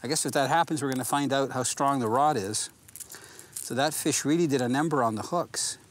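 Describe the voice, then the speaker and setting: A man's voice: medium pitch, a little fast. A man in his sixties hosting a fishing show, explaining technique from a kayak on a lake. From Canada. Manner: relaxed and explanatory, easily excited by a catch. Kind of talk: casual outdoor fishing commentary.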